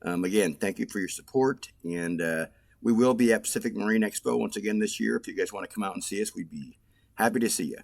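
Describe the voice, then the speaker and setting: A man hosting a casual podcast, relaxed and loose, with frequent pauses and fillers. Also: fairly low pitch, vocal fry, no room sound. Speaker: podcast host.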